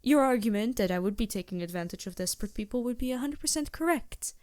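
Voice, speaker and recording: A woman's voice: on the high side, animated, quick pace, no echo, studio quality, close to an everyday voice. A woman playing a polite, reassuring vampire merchant in a fantasy ASMR roleplay, speaking formally with small hesitant asides. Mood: proud, explaining. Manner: genteel, formal phrasing with hesitant asides and soft chuckles.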